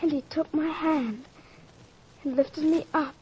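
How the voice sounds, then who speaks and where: A woman's voice: high-pitched, fairly even intonation, unhurried, dark tone, a little hiss or hum. An actress playing a tender, awed young girl in an old-fashioned radio drama. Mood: wondering. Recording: dull lo-fi radio drama recording.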